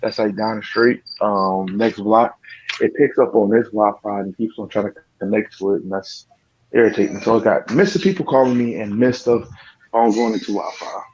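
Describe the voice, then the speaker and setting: A man co-hosting a casual gaming podcast over a cell phone, talking in an easygoing, halting way with many ums. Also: on the low side, steady tone, a little mellow, breathy edge. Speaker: podcast co-host. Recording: dull lo-fi phone audio.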